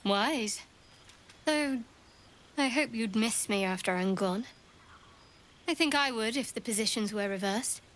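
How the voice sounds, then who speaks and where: A woman's voice: a little high, measured pace, long pauses, a bit bright. An actress voicing a guarded, wry female cleric companion in a video game, reserved and thoughtful. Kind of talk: video game cutscene dialogue.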